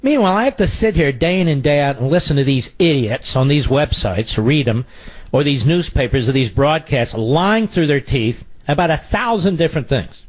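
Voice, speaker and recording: A man's voice: on the high side, lively intonation, normal speed, low-quality recording. A male radio talk show host delivering a combative, sarcastic political monologue and taking calls.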